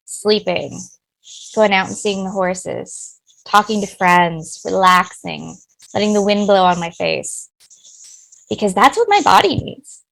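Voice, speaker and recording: A woman's voice: medium pitch, animated, a little slow, gravelly, rough recording. A middle-aged woman, a business owner, giving personal, talkative answers in an interview. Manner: personal, talkative, warm-hearted.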